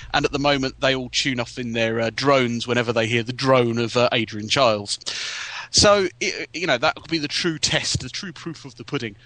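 A man's voice: medium pitch, pushed and bright. A man co-hosting a live TV podcast, chatting casually and doing jokey presenter-style links. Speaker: podcast co-host.

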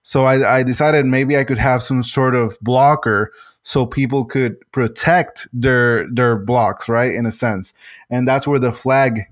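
A man's voice: medium pitch, steady tone. Male game designer from Puerto Rico, Spanish first language; hesitant, restart-heavy delivery in a middle register. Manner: halting, many restarts and fillers. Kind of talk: casual interview answers.

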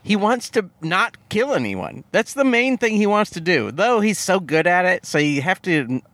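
A man's voice: high-pitched, sing-song, normal speed, a bit bright, no room sound. A man hosting a podcast, retelling a movie plot in a joking, laid-back way. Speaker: podcast host. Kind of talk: casual chat.

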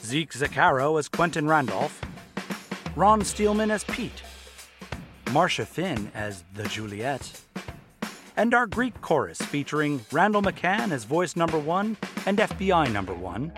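A man's voice: on the high side, very animated, unhurried. A man announcing a radio drama in a theatrical, playful manner, reading scripted narration. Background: music.

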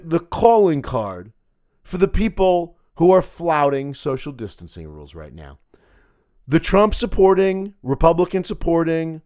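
A man hosting a political podcast, talking solo in a sarcastic, combative way with a gravelly voice. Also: on the high side, a little mellow. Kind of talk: opinionated solo commentary.

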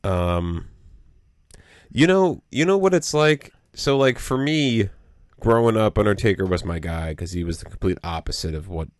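Brief: deep, slightly nasal male co-host, casual rambling delivery, dry close sound. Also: expressive, moderate pace, no room sound. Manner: rambling, full of you know and um. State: a little nasal from allergies. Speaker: podcast co-host. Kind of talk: casual podcast chat. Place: small home studio.

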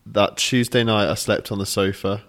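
Laid-back man, low voice. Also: steady tone, moderate pace, fairly bright tone. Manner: laid-back, playfully mocking. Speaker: podcast co-host. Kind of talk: casual chat.

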